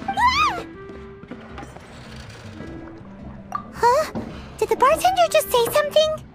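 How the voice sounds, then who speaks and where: A woman voicing a tiny, excitable fairy girl companion in a video game, with a squeaky childlike voice. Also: moderate pace. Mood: startled. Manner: excitable, exclaiming, refers to herself by name. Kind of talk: video game character acting. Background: music.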